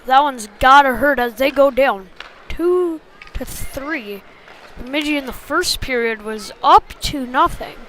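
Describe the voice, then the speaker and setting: A woman calling a youth hockey game live in short, clipped phrases, getting excitable on big plays. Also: sits high, slow pace, a little hiss or hum. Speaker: play-by-play hockey commentator. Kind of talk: live sports commentary.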